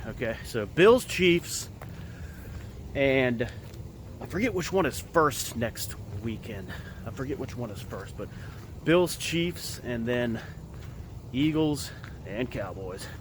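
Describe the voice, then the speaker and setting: Man, cold-roughened voice, casual halting vlog talk on a walk outside; faint ambient noise, drifts off-mic at times. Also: medium pitch, animated. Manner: rambling, halting with many fillers. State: hoarse from a cold. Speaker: vlogger giving football picks. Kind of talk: casual outdoor vlog chat. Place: outdoors at an empty field in winter.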